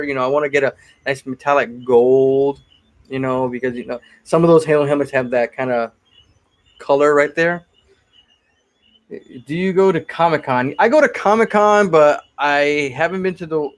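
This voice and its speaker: A man in his forties from Connecticut, chatting casually on a live stream as he answers viewer questions about his cosplay builds. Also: on the high side. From Connecticut, USA. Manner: chatty and rambling, often repeats himself.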